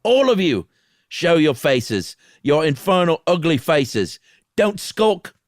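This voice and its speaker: A man acting a defiant, half-mad frightened character in a horror story reading, shouting theatrically at unseen pursuers. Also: fairly high pitch, very animated, takes it slowly, dry recording, voice pushed up. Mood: defiant, taunting.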